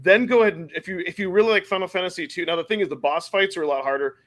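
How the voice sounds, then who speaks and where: A man with a somewhat higher voice hosting a casual podcast. He rambles, breaks off and restarts his sentences. The tone is loose and conversational. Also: rapid-fire, fairly bright tone. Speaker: podcast host. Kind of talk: casual chat.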